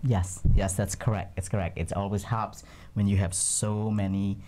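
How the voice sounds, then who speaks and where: A man's voice: on the low side, level tone, normal speed, dark tone, slight background noise. A man hosting a studio interview, upbeat with showy greetings. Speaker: interview host.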